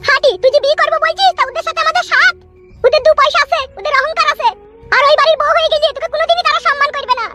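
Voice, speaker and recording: A performer voicing a scolding, exasperated village mother in a Bengali comedy cartoon, with a rural West Bengal accent and a pitch-shifted voice. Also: fairly even intonation, rapid-fire. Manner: nagging, shrill scolding in village dialect. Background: loud music.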